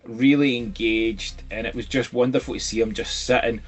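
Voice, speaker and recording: A man's voice: mid-range voice, steady tone, normal speed, husky. A Scottish man co-hosting a casual chat from home, jokey and rambling. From Scotland. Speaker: co-host.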